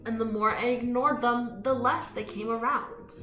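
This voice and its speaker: A woman reading a picture book aloud to children in an expressive, inviting way, with friendly chatty asides. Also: a little high, normal speed, faint music behind. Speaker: children's storytime reader. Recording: roomy echo.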